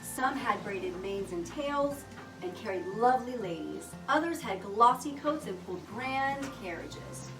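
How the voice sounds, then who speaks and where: High-voiced woman reading aloud, roomy and distant. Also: animated, unhurried. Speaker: storybook reader. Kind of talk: children's picture book read aloud. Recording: roomy echo, distant mic, music behind.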